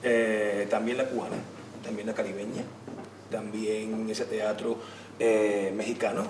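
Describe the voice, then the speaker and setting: A man's voice: fairly low pitch, flat delivery, measured pace. Echoey, distant man answering emphatically. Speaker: theater actor and director on a panel. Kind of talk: spontaneous answer at a public talk. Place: indoor theater venue during a public discussion. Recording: echoey room, distant mic, clear noise.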